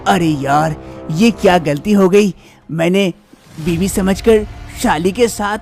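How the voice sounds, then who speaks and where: A woman voicing a young husband in a cartoon story, hurried and flustered as he talks to his wife and sister-in-law. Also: on the low side, darkish tone, faint music behind.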